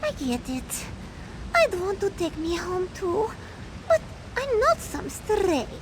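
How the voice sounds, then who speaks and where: Very high-pitched sassy cat girl. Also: sing-song, slow pace, noisy background, exaggerated voice. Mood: flippant. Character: small sassy party-loving cat woman, indignant and flippant. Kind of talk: AI-voiced video game quest dialogue.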